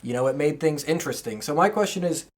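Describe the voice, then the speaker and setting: Low-voiced woman hosting a casual podcast. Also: expressive, fast talker. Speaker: podcast host. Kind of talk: casual group chat.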